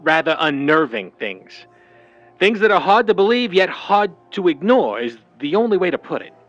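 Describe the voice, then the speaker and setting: A slick, devoted vintage talent agent played by a man talks in old-time slang. His voice is on the high side for a man and moves around a lot in pitch. It is radio drama acting with light music behind. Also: sing-song, moderate pace, fairly bright tone, highs cut off. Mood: grave, uneasy.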